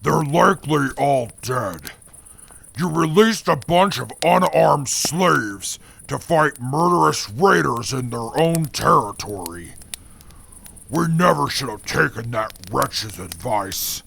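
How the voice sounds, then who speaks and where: A man's voice: mid-range voice, animated, slow, vocal fry, a bit bright, slight background noise, exaggerated voice. An actor playing a stiff, bookish man who talks in a formal, haughty way in a fan audio drama. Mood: disdainful. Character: Atlas, stiff bookish man, cold and aloof.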